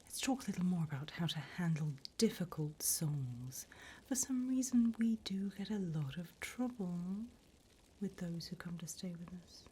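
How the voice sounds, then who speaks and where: A woman voicing a polite, devilish female demon job interviewer in a whispered ASMR roleplay, with a breathy, mock-formal delivery. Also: on the low side, expressive, warm tone, slight background noise, close to an everyday voice. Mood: sly, businesslike. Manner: slow, deliberate, mock-formal.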